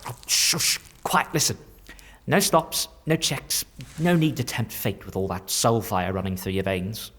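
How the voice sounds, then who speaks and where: Sly, low-voiced theatrical changeling. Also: male voice, expressive, unhurried, a bit bright. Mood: conspiratorial, brisk. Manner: teasing, cryptic, lingering over words. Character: sly, theatrical shapeshifting changeling in a stolen man's body. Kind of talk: dark fantasy audio drama acting.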